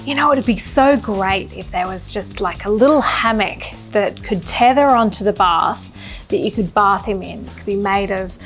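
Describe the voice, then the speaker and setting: A woman's voice: medium pitch, normal speed. A woman explaining her own product conversationally, with music under her voice. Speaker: product inventor.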